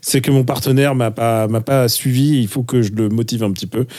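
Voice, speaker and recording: A man with a Parisian accent co-hosting a casual podcast, jokey and lively, with frequent restarts. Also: medium pitch, fairly quick. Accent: Parisian French. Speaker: podcast co-host.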